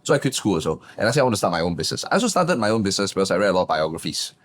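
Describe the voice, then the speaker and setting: Animated man telling his story. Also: rapid-fire. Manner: animated, emphatic, swings pitch up for punchlines. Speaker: guest, business coach. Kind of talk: casual interview storytelling.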